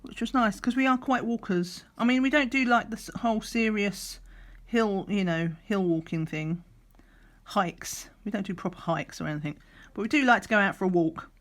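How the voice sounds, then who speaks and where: A middle-aged woman, slightly croaky, chatting in a rambling way while doing a craft, sometimes counting under her breath. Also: mid-range voice, normal speed. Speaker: crafting vlogger. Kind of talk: relaxed chatty craft vlog. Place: at a craft table indoors.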